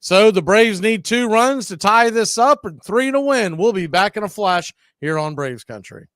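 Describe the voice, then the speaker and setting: A man calling a live baseball game on radio, chatting and telling side stories between pitches. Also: expressive, normal speed, fairly bright tone, no echo, studio quality. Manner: chatty, tells side stories and laughs at them. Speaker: radio play-by-play announcer. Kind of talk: live baseball play-by-play with casual chat.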